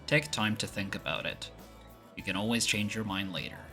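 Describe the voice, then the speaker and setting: An easygoing young clan deputy played by a male voice actor talks with playful good humor. His voice is fairly low but bright and forward, set in an audio roleplay with faint music behind it. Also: moderate pace, creaky. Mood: friendly.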